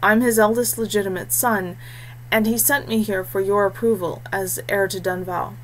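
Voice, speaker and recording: A woman's voice: medium pitch, fairly even intonation, normal speed, slight background noise, lightly acted, rough recording. A woman voicing an earnest young male warrior heir, forthright and fiery, in a fantasy audiobook. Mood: formal.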